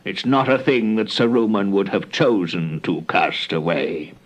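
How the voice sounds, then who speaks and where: An actor playing a commanding old wizard, stern yet kindly and theatrical, in a fantasy audio drama. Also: male voice, sits low, measured pace. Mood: brisk, thoughtful. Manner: theatrical, declamatory. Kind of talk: fantasy radio drama acting.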